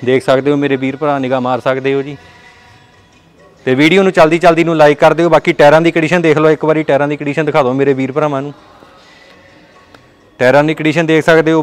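Punjabi man pitching cars, fairly high voice. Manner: repetitive friendly pitch, addresses viewers as brothers. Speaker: car dealer showing stock. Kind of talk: walkaround sales tour. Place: large indoor car showroom.

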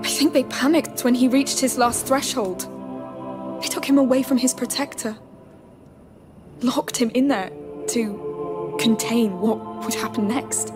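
A woman's voice: fairly high pitch, a little slow, long pauses, music in the background, lightly acted. A woman playing an earnest, protective teenage girl in a video game cutscene. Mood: earnest, hopeful.

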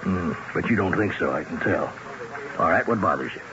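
An actor playing an easygoing, brusque police captain in a 1970s radio drama, casually questioning. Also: male voice, deep voice, animated, brisk, a crowd in the background. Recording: dull, noisy old radio broadcast.

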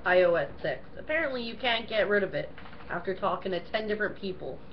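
A woman's voice: medium pitch, animated, quick pace, dull audio, slight background noise. A young woman co-hosting a casual rant, cutting in with blunt, sarcastic one-liners. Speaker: co-host. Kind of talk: casual ranting chat.

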